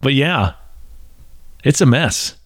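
A man's voice: mid-range voice, takes it slowly, slightly rough, no room sound, studio quality. A man in his forties hosting a casual podcast chat, joking and often restarting his sentences. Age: 40s. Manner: animated, joking, restarts and repeats words. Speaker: podcast host.

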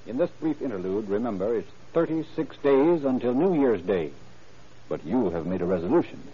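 A man's voice: on the low side, sing-song, moderate pace, warm tone, slightly rough. A man announcing and narrating in a formal, polished, scripted 1930s radio style. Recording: muffled old radio recording with faint music.

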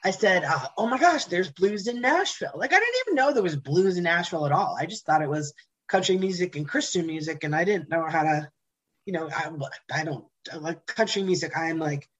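A woman guest in a casual interview, telling candid, animated stories, swearing casually and laughing often. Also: on the low side, a little fast. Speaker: interview guest, blues jam host.

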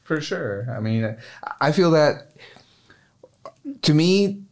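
A man's voice: fairly high pitch, lively intonation, slow, a little mellow. Easygoing man co-hosting a podcast. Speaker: podcast co-host. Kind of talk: casual podcast conversation.

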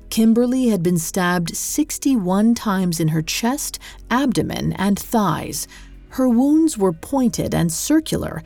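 A woman with a fairly low voice narrates a scripted true-crime story. Her delivery is measured and dramatic, in the manner of a storyteller. Soft music plays behind her. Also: vocal fry. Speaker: podcast host and narrator.

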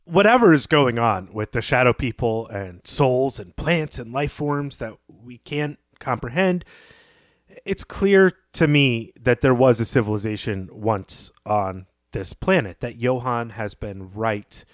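A man co-hosting a literary discussion podcast, speaking in a measured, reflective way with pauses mid-thought. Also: medium pitch, expressive, no room sound, studio quality. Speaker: podcast co-host.

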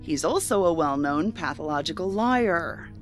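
An actress playing a skeptical, sharp-tongued federal agent in a science fiction audio drama, blunt and challenging with a sardonic edge. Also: female voice, low-pitched, lively intonation, normal speed. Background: music under the voice.

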